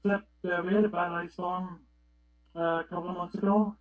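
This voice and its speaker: High-voiced man chatting on a radio net. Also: flat delivery, brisk, low-quality recording. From Canada. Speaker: participant on a CB radio club net. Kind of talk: casual chat, telling an anecdote.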